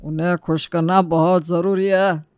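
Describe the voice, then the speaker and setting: A man's voice: high voice, flat delivery, measured pace, clear, resonant tone, no echo, studio quality. A man voicing a middle-aged father-in-law in a storybook tale, scheming, urging and ingratiating.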